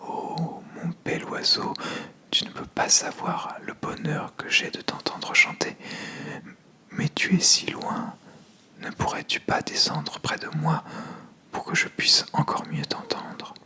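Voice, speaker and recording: Someone whispering as a sly, flattering serpent god. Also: medium pitch, slow. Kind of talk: whispered storytelling.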